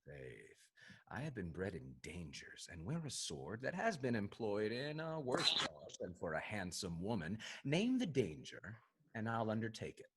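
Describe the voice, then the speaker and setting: A man acts out a rakish sea captain in a period stage comedy. He is boisterous and flirtatious, with a husky, bright voice that leaps up and down and takes its time over each teasing phrase. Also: on the low side, big pitch swings, exaggerated voice. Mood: amorous bravado. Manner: theatrical, swaggering delivery with hesitant teasing pauses. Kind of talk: Restoration comedy stage acting.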